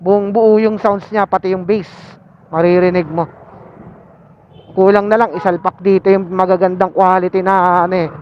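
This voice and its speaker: A man's voice: sits high, flat delivery, moderate pace. Man, Filipino accent, casual riding vlog, muffled intercom sound, faint vehicle noise behind. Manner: code-switches into English phrases, filler-laden. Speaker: motovlogger and intercom seller. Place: on a motorcycle in city traffic. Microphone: Bluetooth helmet intercom linked to action camera. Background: faint vehicle and road noise.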